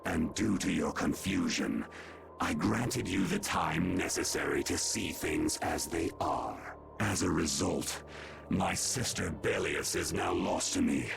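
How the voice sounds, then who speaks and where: Ancient, gravelly, deep-voiced imperious creature. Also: male voice, unhurried, heavily acted. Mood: stern judgment. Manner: formal, solemn, archaic phrasing. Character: ancient, stern, imperious great creature judging humans. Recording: game music behind the voice.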